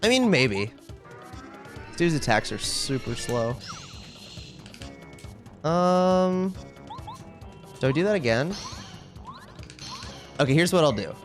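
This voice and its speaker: A man's voice: a little high, sing-song, slow pace, pauses often, soft background music. A man livestreaming a video game, chatting casually with viewers and commenting on play in a wry, ranting way.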